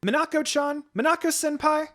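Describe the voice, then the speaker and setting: A narrator voicing eager young male admirers in an excited, pleading manner. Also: high-pitched, moderate pace, pitched above the performer's own voice.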